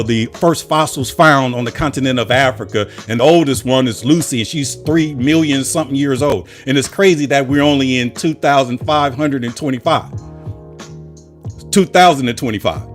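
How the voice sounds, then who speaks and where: An American man hosting his own political commentary channel, delivering an impassioned monologue full of rhetorical repetition. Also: on the high side. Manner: preachy, with rhetorical repetition and emphatic lists.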